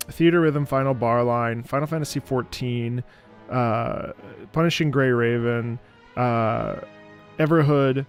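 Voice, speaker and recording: A man chatting casually as a gaming streamer, rambling and thinking aloud. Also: mid-range voice. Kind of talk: casual live gameplay chat. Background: video game music and dialogue.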